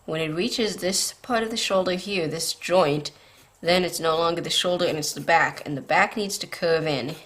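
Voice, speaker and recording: Woman, low pitch, tired scratchy voice, drawing tutorial host, patient step-by-step instruction for beginners. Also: normal speed, a bit bright. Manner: patient, beginner-friendly explaining. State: tired, scratchy voice from staying up late.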